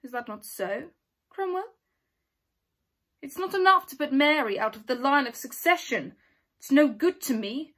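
Young woman acting vengeful queen, high voice, haughty, commanding, stage monologue, deliberate pauses. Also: expressive, fairly bright tone. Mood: scornful, demanding. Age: teenager or young adult. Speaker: student performer. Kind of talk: dramatic monologue reading. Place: small plain indoor room.

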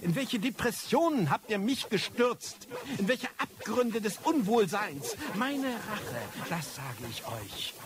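An actor playing a disillusioned, ageing male hacker confessing on tape in a radio drama, brooding and theatrical, with a husky, raspy voice. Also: sits high, big pitch swings, takes it slowly, background noise, full character voice. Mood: bitter, vengeful. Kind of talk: German radio drama monologue.

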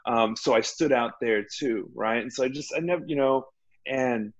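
A man in his thirties from Texas, a guest on a video call from home, telling his story in a relaxed, self-deprecating way. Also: mid-range voice, moderate pace, highs cut off. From Laredo, Texas. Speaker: guest, addiction counselor. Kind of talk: personal recovery story. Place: home room.

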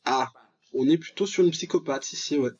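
A man's voice: a little high, normal speed, darkish tone, highs cut off, faint music behind. A young man giving casual, slangy let's play commentary, with mock-dramatic, exclamatory reactions. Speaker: gaming video host.

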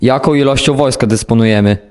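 A man's voice: mid-range voice, fast, darkish tone, lightly acted. A young man playing an alert army captain who gives terse orders in an amateur war audio drama. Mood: alert, official. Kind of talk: scripted war radio drama dialogue.

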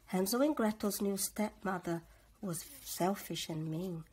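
A middle-aged woman reading a children's story aloud in an expressive, dramatic way. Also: medium pitch. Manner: slow, animated, dramatic. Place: living room, on a sofa.

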